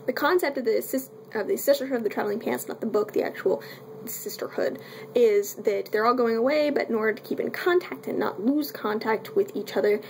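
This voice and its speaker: A woman's voice: on the high side, creaky, darkish tone, a little hiss or hum. A woman giving a casual, unscripted book review in a candid, irreverent way with wry asides and mild swearing.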